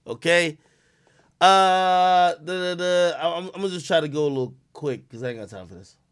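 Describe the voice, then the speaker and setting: A man's voice: fairly high pitch, moderate pace, smooth. Profane, rambling male streamer. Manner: profane, rambling, reacts loudly while reading aloud. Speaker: live-stream host. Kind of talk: casual stream commentary.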